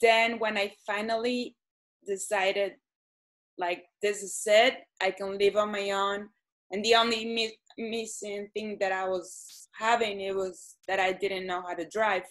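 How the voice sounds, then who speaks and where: A woman, answering interview questions hesitantly with many pauses and ums. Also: medium pitch, flat delivery, a bit bright, poor audio. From Venezuela. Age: 30s. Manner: hesitant, frequent ums and restarts. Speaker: guest.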